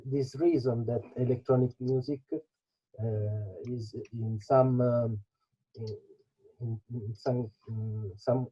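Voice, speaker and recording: A man giving his opinions in an interview in very hesitant, non-native English, searching for words with many pauses. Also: medium pitch, lo-fi sound. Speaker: musician interviewed. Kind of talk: reflective interview talk.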